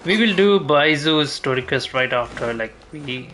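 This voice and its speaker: A man giving casual, unhurried gameplay commentary, thinking aloud as he goes. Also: fairly high pitch, lively intonation, a bit bright, slight background noise. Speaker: game streamer. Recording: game music behind voice. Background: video game music.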